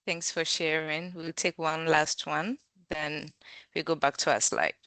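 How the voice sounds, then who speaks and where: Slow, low-voiced woman facilitator. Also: steady tone, fairly bright tone, smooth, no echo. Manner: measured, with frequent um fillers. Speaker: workshop facilitator. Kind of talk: online workshop hosting. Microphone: video call.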